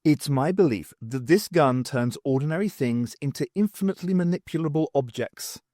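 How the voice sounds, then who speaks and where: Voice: medium pitch, expressive, moderate pace, mellow, no echo, clean studio sound, close to an everyday voice. An audiobook narrator voicing a pompous man with an airy, affected manner. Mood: airy, pleased. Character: pompous, plaintive man showing off his discovery.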